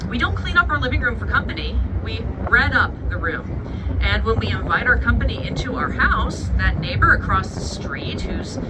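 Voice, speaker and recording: Woman, Pittsburgh accent, tour guide, chatty and proud, higher voice, distant PA, loud boat and water noise. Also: big pitch swings, moderate pace. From Pittsburgh, USA. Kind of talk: tour guide commentary over a PA. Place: outdoors on a river tour boat. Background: engine, water and city noise.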